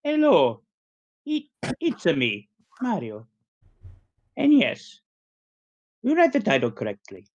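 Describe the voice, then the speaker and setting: A man puts on a cartoon Italian plumber whose voice jumps up high and swings wildly. He is theatrical and solemn as he makes a sing-song mock apology. The sound is slightly dull and lo-fi. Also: wildly swooping pitch, slow, pauses often, darkish tone, full character voice. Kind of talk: mock YouTuber apology video improv.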